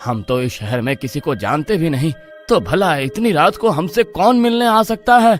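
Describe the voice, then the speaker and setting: A man's voice: on the high side, lively intonation, moderate pace, soft background music, natural voice. A man playing an eager, trusting young husband from a village in a dramatised horror story, earnest and exclaiming. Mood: puzzled, worried.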